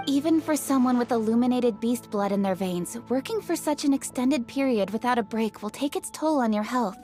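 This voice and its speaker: A woman's voice: on the high side, fairly quick, warm tone, soft background music, natural voice. A woman voicing a poised, businesslike young official in a video game, formal, composed and decisive. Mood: concerned.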